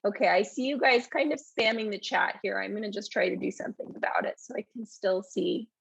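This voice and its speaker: A woman's voice: mid-range voice, fairly quick, gravelly, fairly bright tone, husky, dry recording, rough recording. A woman teaching a live online English lesson in a chatty way, reading out and answering questions from the chat.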